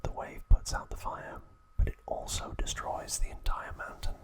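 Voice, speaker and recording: Whispering man, soft ASMR narration. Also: moderate pace. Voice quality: breathy, unvoiced whisper. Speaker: ASMR host.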